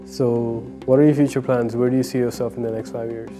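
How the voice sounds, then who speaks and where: A man's voice: mid-range voice, little pitch movement, fairly quick. A man hosting a casual studio interview, asking questions in conversational language. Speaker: interviewer, host. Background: plucked guitar music.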